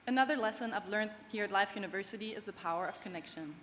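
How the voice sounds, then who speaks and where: A woman's voice: mid-range voice, fast, fairly bright tone. A young woman from Germany, speaking English as a second language, delivers an earnest, heartfelt graduation speech. Her voice rings with long reverb through a large hall, with faint background noise underneath. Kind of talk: prepared commencement speech.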